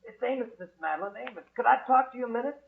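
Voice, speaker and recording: A man's voice: very high-pitched, expressive, very fast, vocal fry, heavily acted. An actor playing an anxious, deferential male servant in an old-time radio drama, urgent and speaking in simple broken phrases. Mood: worried, puzzled. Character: anxious, deferential male servant searching for his missing sweetheart. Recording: muffled scratchy old radio broadcast.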